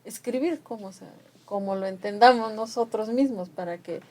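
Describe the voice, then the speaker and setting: An older woman from the Mixe region speaks as a radio guest. Her delivery is animated, pressing harder when she makes a point. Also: medium pitch, moderate pace. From Mixe region of Oaxaca, Mexico. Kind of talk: conversational radio talk.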